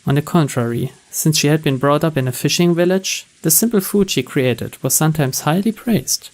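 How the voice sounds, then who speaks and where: A man's voice: a little high, normal speed, darkish tone. A man reading a visual novel's story text aloud as scripted narration. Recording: music and rain behind.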